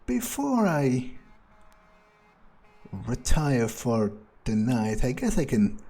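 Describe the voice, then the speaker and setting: A man role-playing a callous vampire in a video game playthrough, thinking aloud in a casual, halting, sardonic way. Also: medium pitch, sing-song, dark tone, soft background music. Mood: idle, callous. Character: callous, musing male vampire player character. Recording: faint background noise.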